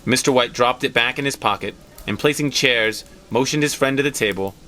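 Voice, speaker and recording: A man reading a story aloud as an expressive scripted narration. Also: medium pitch, brisk. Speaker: story reader.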